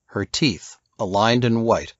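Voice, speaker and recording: A man's voice: fairly low pitch, slow pace, dry recording, dull audio. A man reading a novel as scripted narration, telling the story as an audiobook narrator.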